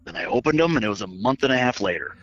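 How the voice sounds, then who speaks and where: A man's voice: lowish voice, expressive, racing, a bit bright. A man with a scratchy voice, telling stories with self-mocking humor in a casual chat. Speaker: guest. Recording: dull lo-fi audio.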